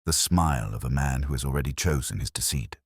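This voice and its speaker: A man reading a first-person detective story as scripted narration, in a measured, literary storytelling manner. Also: rumbling low, slightly rough, dry recording, clean studio sound.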